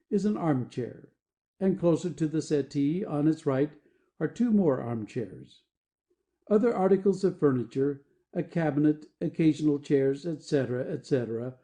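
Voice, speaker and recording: Guy reading stage directions for a play, super mellow tone, slightly higher voice, measured. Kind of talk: scripted narration of a play.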